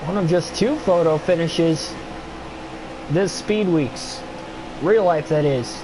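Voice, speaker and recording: A man's voice: fairly high pitch, slow, noisy background. A young man with a stuffy nose and a tiring voice, calling a video game race play-by-play and chatting with his stream viewers. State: stuffy nose, voice getting worn. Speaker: streamer doing race commentary. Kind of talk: play-by-play sim racing commentary.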